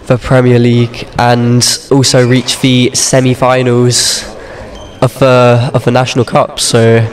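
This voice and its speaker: A man's voice: mid-range voice, monotone, a little slow. A young man giving casual, informal live badminton commentary with some banter. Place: large indoor sports hall.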